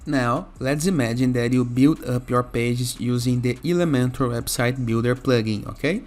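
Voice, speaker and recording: Lower-voiced male tutorial host over music. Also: moderate pace, darkish tone. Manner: instructional, often checking with "okay?". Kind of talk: software tutorial narration.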